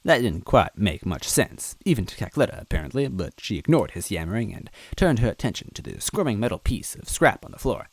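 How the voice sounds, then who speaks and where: A man reading a story aloud as narrator, expressive and adding little asides to the listener. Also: fairly low pitch, brisk, gravelly, few pauses, slightly rough, dry recording. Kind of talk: reading fan fiction aloud.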